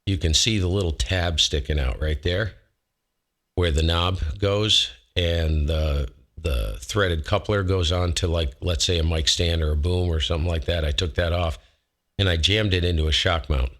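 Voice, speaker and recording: Very deep-voiced man, rambling gear demo. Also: normal speed, a bit bright. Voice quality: low voice. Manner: rambling, self-correcting, folksy. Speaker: ham radio host. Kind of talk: casual equipment demo. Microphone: close dynamic studio mic.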